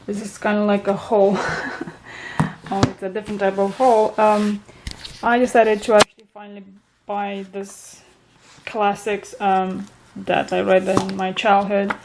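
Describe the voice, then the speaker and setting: A young woman with a Romanian accent, a native Romanian speaker, chatting casually in a home vlog and often pausing to search for words. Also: medium pitch, flat delivery, noisy background. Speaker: vlogger.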